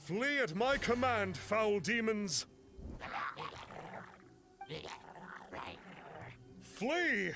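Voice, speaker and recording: An actor playing a pompous, cowardly fraud posing as a mystic exorcist in an anime game, with theatrical, stilted archaic phrasing. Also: male voice, high voice, animated, slow, bright, forceful tone, a little hiss or hum, exaggerated voice. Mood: commanding.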